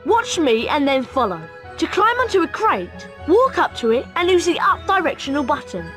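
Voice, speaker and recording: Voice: high-pitched, big pitch swings, normal speed, a bit bright, faint music behind. An actor playing a friendly, eager young British boy wizard who guides a friend in a video game. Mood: eager, wary.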